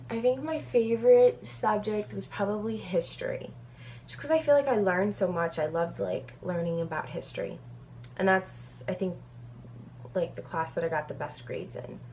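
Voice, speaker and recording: A woman's voice: mid-range voice, unhurried, a little mellow, slight background noise. A woman in her thirties from Brazil, chatting casually through a get-to-know-me Q&A on her vlog and reading questions off a paper with plenty of "um"s. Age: 30s. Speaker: beauty vlogger.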